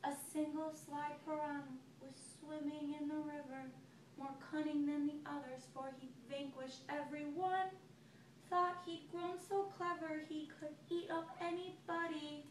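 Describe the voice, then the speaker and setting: A woman's voice: high-pitched, fairly even intonation, unhurried. A woman teacher reading a picture book aloud to a child, telling it expressively and stopping to ask questions. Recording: echoey room, recorded from far off, noisy.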